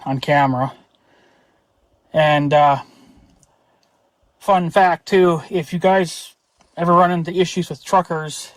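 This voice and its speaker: A man's voice: on the high side, no room sound. An American man talking casually in a rambling, blunt vlog style, with some mild swearing. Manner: rambling, blunt, mildly profane. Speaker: YouTube reviewer and vlogger.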